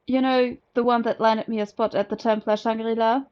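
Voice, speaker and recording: A woman's voice: fairly high pitch, little pitch movement, a little fast, muffled recording, close to an everyday voice. A woman voicing a friendly, chatty male bruiser of a prison inmate in a story, breezy and familiar.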